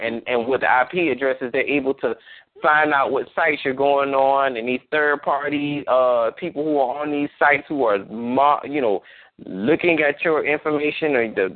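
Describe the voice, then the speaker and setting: A man hosting a casual phone-line talk show, rambling with many restarts and fillers. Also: mid-range voice. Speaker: host.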